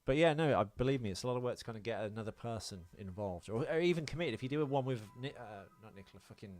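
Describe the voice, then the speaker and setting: A man's voice: medium pitch, expressive, racing, a little mellow. A British man co-hosting a podcast, chatting casually and unscripted in a rambling, hesitant, self-deprecating way with some swearing. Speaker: podcast co-host.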